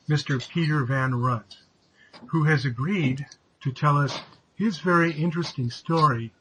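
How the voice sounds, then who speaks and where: Bright-voiced male interview host. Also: medium pitch, a little hiss or hum, poor audio. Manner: formal opening, measured questions. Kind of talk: hosting an online interview.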